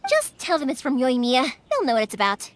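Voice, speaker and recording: A woman's voice: high-pitched, a little fast, no echo. A woman playing a cheerful, kind young fireworks maker in a video game, chatty and informal. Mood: bright, friendly. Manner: chatty, animated, informal, playful. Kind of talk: video game voice acting, English dub.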